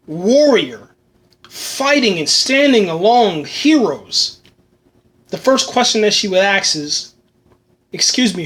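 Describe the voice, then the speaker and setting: A high-voiced man is hosting a casual solo review podcast. He rambles with enthusiasm, piles on fillers and repeats himself, and his voice is going slightly hoarse. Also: fairly bright tone. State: losing his voice. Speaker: podcast host reviewing a film.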